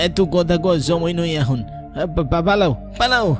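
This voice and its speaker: A man's voice: a little high, lively intonation, normal speed, over music, close to an everyday voice. A man voicing a humble, ominous village tea-stall keeper in a horror cartoon, in a rural Bengali dialect. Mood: urgent. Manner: folksy, cautioning.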